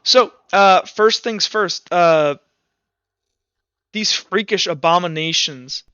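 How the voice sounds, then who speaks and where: A man running a tabletop roleplaying game as game master in a casual, halting way, thinking aloud as he narrates. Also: high-pitched, bright, forceful tone, smooth, no room sound, highs cut off.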